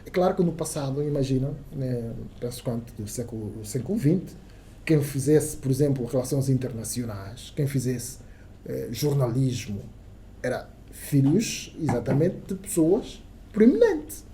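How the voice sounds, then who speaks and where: A man's voice: medium pitch, sing-song, normal speed, warm tone, slight background noise. A man from Angola whose first language is Kissolongo, speaking Angolan Portuguese as a journalist guest on a podcast, with many fillers and restarts and emphatic turns. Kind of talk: casual podcast interview.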